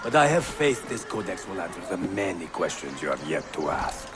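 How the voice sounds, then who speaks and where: An actor playing a grave, wise and gracious master in a video game, speaking calmly and formally. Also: male voice, low voice, moderate pace, over music. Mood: calm, solemn. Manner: calm, formal. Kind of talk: dramatic video game acting. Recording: very noisy outdoor game ambience.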